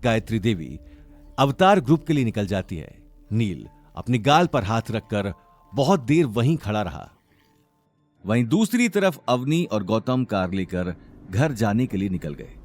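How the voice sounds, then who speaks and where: A man's voice: lowish voice, very animated, measured pace, a little mellow, soft background music. A man narrating a scripted audio drama in a dramatic storytelling style.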